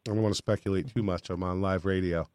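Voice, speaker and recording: A man from New York state hosting a live radio show, with a raspy voice and a cold, talking in a boastful, joking way. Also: low voice, fairly even intonation, quick pace, a little mellow, no echo, clean studio sound. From New York state, USA. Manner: boisterous, boastful and jokey. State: has a cold. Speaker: radio host.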